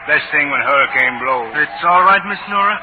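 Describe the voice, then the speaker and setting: A man's voice: a little high, lively intonation, a little fast, lightly acted. Polite islander played by a man, clipped broken English, deferential, 1940s radio drama with heavy noise and narrow band. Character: deferential local islander, friendly fugitive speaking broken English. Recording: very noisy, phone-like 1940s radio recording.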